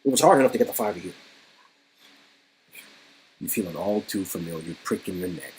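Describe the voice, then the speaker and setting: A man's voice: deep voice, animated, fairly quick, a little mellow, slight background noise, poor audio. A man co-hosting a read-along of a story game, switching between narration and playful, slangy, casual banter. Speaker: co-host reading a story game aloud.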